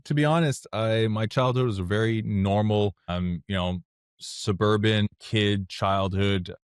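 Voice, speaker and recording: Low-voiced man telling his story. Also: steady tone, unhurried, smooth, no room sound, studio quality. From Canada (Montreal). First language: French. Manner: candid, plainspoken, thinks aloud as he talks. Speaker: guest, software founder. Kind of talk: casual interview storytelling.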